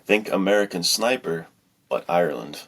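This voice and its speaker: Deep-voiced, slangy, enthusiastic man. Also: animated, moderate pace, a bit bright. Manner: hyped, slangy, emphatic praise, frequent "dude". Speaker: podcast co-host and writer. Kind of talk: casual book chat.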